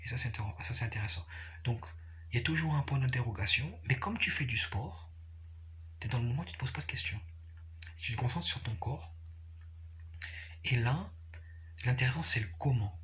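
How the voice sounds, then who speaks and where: A man's voice: medium pitch, lively intonation, a bit bright. Man talking casually over background noise. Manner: repetitive and halting, often checks the listener follows. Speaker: capoeira practitioner sharing views. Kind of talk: casual, unscripted explanatory talk.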